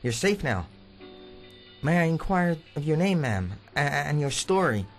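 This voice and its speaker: Radio drama role: male actor as prying young-blooded newshound reporter, insistent, stammering, very animated pitch, faint music behind. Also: unhurried. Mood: solicitous. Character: eager, prying reporter pressing survivors with questions. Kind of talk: radio play acting.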